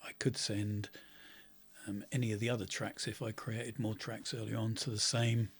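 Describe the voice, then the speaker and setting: A middle-aged man, a bit hoarse from a cold, explaining synth settings in a relaxed tutorial, full of ums and restarts. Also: on the low side, moderate pace. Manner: relaxed, talks while clicking, many ums and restarts. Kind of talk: casual screen-recorded software tutorial. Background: faint synth music.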